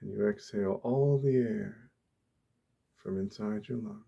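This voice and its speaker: Slow, soft-spoken, husky man. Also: lowish voice. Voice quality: soft, hushed. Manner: gentle, deliberate, instructional, with long pauses. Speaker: ASMR host, meditation guide. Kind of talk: soft-spoken ASMR guided meditation.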